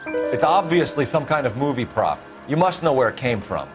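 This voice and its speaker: An actor playing an earnest male police detective who questions people in a firm, measured way. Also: medium pitch, sing-song, music in the background, close to an everyday voice. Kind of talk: video game voice acting.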